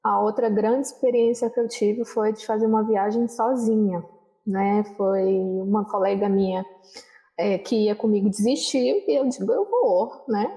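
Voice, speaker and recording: A young adult woman, a guest in a casual interview, telling travel stories in a relaxed, rambling way with frequent tag questions. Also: mid-range voice, moderate pace, rough recording. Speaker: guest, social worker.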